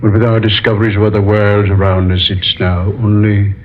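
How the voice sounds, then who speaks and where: A man's voice: fairly low pitch, flat delivery, brisk, slight background noise, natural voice. An actor playing a weary elderly king who rules a world, speaking with stately, resigned 1940s radio delivery. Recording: tinny lo-fi old radio broadcast.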